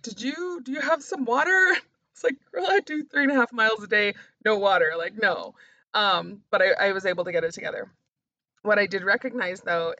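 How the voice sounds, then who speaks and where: A woman speaking as a coach and podcast host, sharing personal reflections in a candid, confessional way, halting and stressing key words. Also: medium pitch, pushed and bright, dry recording, muffled recording. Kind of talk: personal reflective talk to camera.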